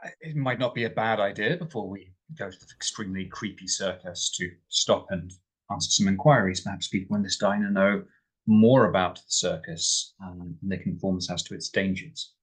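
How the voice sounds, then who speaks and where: A man playing a cautious, wry male traveller in a tabletop game, with a measured, formal and wordy way of talking. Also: deep voice, fairly even intonation, slightly rough, rough recording.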